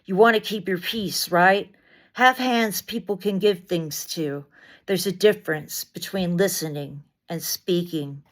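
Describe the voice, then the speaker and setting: A woman reciting spoken-word poetry in deliberate short phrases with dramatic emphasis, as a poet reading another writer's work. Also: fairly low pitch.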